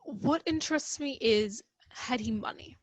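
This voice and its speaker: A woman plays a shrewd, composed doctor in a period radio drama. The voice is fairly high, with a deliberate, dry, formal delivery. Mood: measured, probing. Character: measured, shrewd gentleman doctor, male. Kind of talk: radio play acting.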